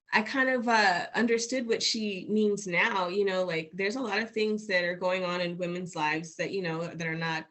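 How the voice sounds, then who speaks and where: A woman in her forties from Washington, D.C., a guest telling her story in a casual interview with lots of fillers. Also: fairly low pitch. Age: 40s. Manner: animated, with many fillers and restarts.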